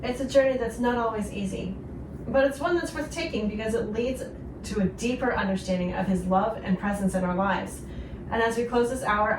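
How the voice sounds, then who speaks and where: Echoey, distant woman hosting devotional radio. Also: on the high side. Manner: earnest, gentle encouragement. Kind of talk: devotional radio talk with co-host. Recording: roomy echo, distant, clearly noisy.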